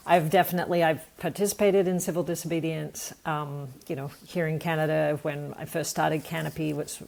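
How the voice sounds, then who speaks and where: A woman's voice: deep voice, creaky, darkish tone. A woman from Australia with an Australian accent, telling her story as a guest in an earnest, reflective way. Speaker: nonprofit founder, podcast guest. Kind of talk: conversational interview answer.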